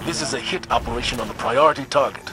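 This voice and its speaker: A man's voice: medium pitch, fast talker, close to an everyday voice. Stern, formal military commander played by a man. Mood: stern, businesslike. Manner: formal, authoritative. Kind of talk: video game mission briefing. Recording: gameplay noise underneath.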